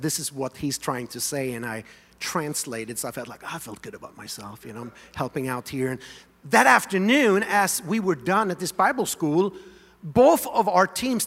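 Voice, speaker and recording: Swedish-born pastor, bright, slightly high voice, chatty storytelling sermon, big reverberant room. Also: male voice. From Sweden. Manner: animated, conversational, leads congregation in song. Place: large church sanctuary.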